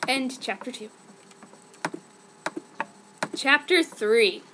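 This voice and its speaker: A woman's voice: high-pitched, lively intonation, drawn-out words, sharp tone, slight background noise, poor audio. A woman giving a dramatic, mock-earnest reading of fan fiction as a narrator. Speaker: reader.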